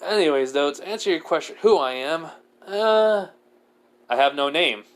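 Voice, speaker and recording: A man's voice: a little high, lively intonation, moderate pace, close to an everyday voice. A man playing an exasperated, sarcastic stranded human in a fantasy story, ranting with defiance. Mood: cocky, dismissive.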